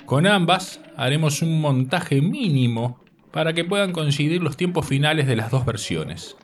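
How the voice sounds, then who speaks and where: A man presenting a scripted radio segment in a measured, didactic manner. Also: fairly high pitch, expressive. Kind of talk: scripted narration. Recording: distant mic with faint noise.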